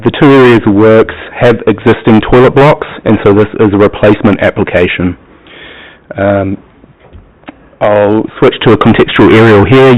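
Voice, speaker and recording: A man with a New Zealand accent presenting a planning application to a council committee, pausing often with ums as he explains. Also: fairly low pitch. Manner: hesitant, many ums, plain explanatory. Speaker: planning officer. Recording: muffled, lo-fi broadcast audio.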